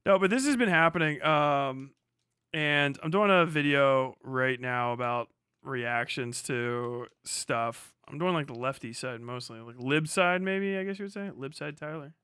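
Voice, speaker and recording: A man's voice: medium pitch, unhurried, pushed and bright, clear, resonant tone, no echo, clean studio sound. An American man chatting casually on a livestream while gaming, with irreverent, sarcastic and profane commentary. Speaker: live streamer commenting while playing a video game.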